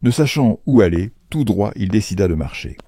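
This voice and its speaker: A male narrator tells a children's tale in a deep, mellow voice. His delivery is animated and playful, with wide swings of intonation. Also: moderate pace, breathy edge, dry recording, studio quality. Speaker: storyteller, narrator.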